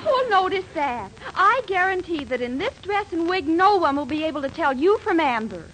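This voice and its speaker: A woman's voice: very high-pitched, very animated, normal speed. An actress playing a bossy, wheedling, theatrical young girlfriend in a 1940s radio comedy, coaxing and commanding by turns. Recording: noisy old 1940s radio recording, studio audience.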